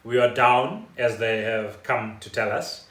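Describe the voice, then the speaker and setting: A man's voice: medium pitch, a little slow, fairly bright tone. A South African man co-hosting a casual podcast, explaining things in a wry, conversational way. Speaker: podcast co-host. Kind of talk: casual chat explaining news. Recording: roomy, echoey home recording.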